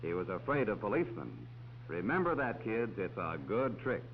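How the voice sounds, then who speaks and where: A man's voice: lowish voice, big pitch swings, normal speed. Man narrating an old safety film. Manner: talks down to children. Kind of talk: 1940s educational film narration. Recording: dull old film soundtrack with faint hiss.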